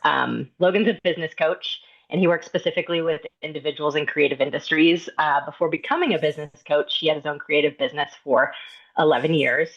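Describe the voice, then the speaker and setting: A woman, an independent designer, introducing herself earnestly with frequent pauses on a video call. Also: low voice, steady tone, dull audio. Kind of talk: prepared self-introduction.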